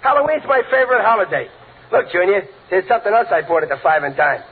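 A man's voice: sits high, sing-song, fast, breathy edge, full character voice. An American man playing a blustering, joking working-class father in 1940s radio comedy, with folksy, ungrammatical talk. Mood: joking, breezy. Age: middle-aged. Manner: folksy, ungrammatical, chuckling wisecracks. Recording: tinny, noisy 1940s radio recording.

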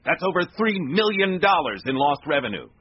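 Man playing a stern, animated company boss. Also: fairly high pitch, brisk, slightly rough. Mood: scolding. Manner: scolding, authoritative. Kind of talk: radio drama acting. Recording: dull lo-fi radio recording, faint noise.

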